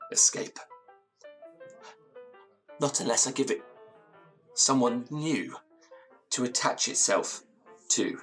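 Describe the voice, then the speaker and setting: A man acts out a frightened, haunted man in a gothic radio play. His mid-pitched voice moves haltingly through ornate, theatrical phrases. Faint music plays underneath. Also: a bit bright. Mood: ominous, resolved. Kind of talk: gothic audio drama, first-person storytelling.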